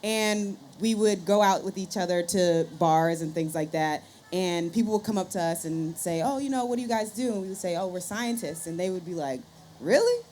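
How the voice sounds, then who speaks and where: Woman storytelling over clear background noise. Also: lowish voice, animated, normal speed, a little mellow. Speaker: podcaster and scientist, panel guest. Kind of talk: conversational storytelling on a panel. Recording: live event room with background noise.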